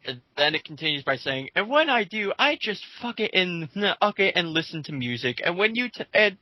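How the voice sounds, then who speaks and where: A man co-hosting a casual group commentary, reading comments aloud and haltingly restarting his sentences. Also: high voice, sing-song, bright, forceful tone, dry recording. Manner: halting, with repeated false starts and filler phrases.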